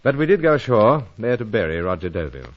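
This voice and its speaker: Chummy british secret agent, old radio serial, super animated, dull noisy audio. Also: male voice, fairly low pitch, quick pace. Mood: grave narrating. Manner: plummy old-school politeness, chummy. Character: British secret agent, hearty, polite, unflappable gentleman adventurer. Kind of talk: old-time radio adventure serial acting and narration. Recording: muffled recording with clear engine noise.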